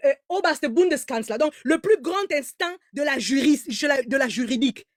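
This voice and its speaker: Emphatic high-voiced woman commentator. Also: lively intonation, moderate pace, no room sound, studio quality. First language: German. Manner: emphatic, repeats words, stammers on restarts. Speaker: online commentator. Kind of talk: heated live commentary.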